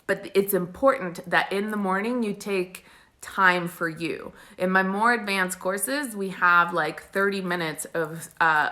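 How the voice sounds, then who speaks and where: A woman's voice: on the low side, pushed and bright. A woman in her forties from Italy, a spiritual coach talking casually to live stream viewers with many fillers. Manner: casual, chatty, many fillers and frequent laughs.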